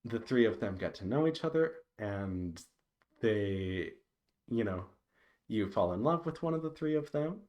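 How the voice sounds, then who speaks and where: A man giving a casual, unscripted update to viewers, thinking aloud in a hesitant, meandering way with halting pauses. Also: lowish voice, lively intonation, darkish tone, dull audio. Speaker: visual novel developer giving a devlog update.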